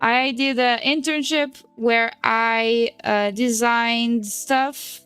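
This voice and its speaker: A woman's voice: a little high, steady tone, very slow, fairly bright tone, clean tone, no room sound. A woman in her twenties, a native Portuguese speaker, chatting casually on a stream while she draws, swearing and laughing often. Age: 20s. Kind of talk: casual chat while drawing.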